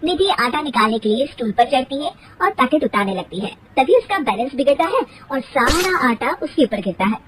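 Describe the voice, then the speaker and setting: A woman narrates a scripted cartoon story in a high voice with animated intonation. The recording sits slightly away from the mic, with faint noise. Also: brisk, few pauses, recorded from a distance.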